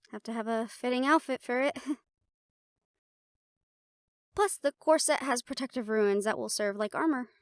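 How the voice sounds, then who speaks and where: High-voiced chatty siren-banshee woman played by an actress. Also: no room sound. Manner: casual, chatty, halting, with small laughs. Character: half-siren, half-banshee woman, playful, chatty, wistful about home. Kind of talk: intimate audio roleplay, one-sided conversation with listener.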